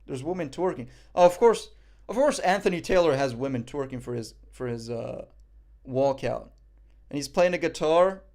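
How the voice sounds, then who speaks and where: A man's voice: medium pitch, lively intonation, normal speed, vocal fry, darkish tone. A young man from Morocco, casually commenting on a boxing event on a live stream, rambling and joking with frequent swearing and fillers.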